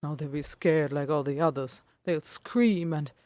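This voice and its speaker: A woman voicing a lonely, hesitant male supernatural being in a dramatic reading, hushed and breathy, with halting inner monologue. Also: low-pitched, no room sound. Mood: panicked.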